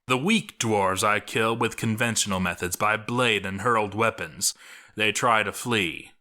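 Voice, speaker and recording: A man reading a scripted story as a dramatic narrator, theatrical and deliberate, with pauses for effect. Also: fairly low pitch, pushed and bright.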